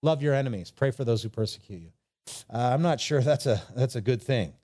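Mellow-voiced man preaching conversationally. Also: mid-range voice, lively intonation, fairly quick, breathy edge. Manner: informal, acts out imagined replies and dialogue; rhetorical questions and repetition for emphasis. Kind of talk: conversational Bible sermon.

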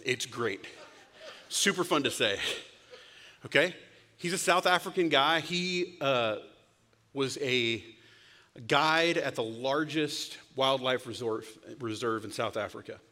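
Male preacher, brightish higher voice, storyteller who swings loud to whisper; long hall reverb. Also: unhurried, pauses often. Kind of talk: sermon.

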